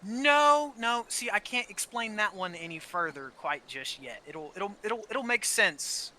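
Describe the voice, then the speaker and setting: Man, higher-pitched, very sharp forceful tone, casual gaming chat, opinionated, swears freely, stammered repeats. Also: animated, a little slow, a little hiss or hum. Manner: frequent swearing, heated and opinionated. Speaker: gaming channel co-host. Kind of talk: casual gameplay chat about story.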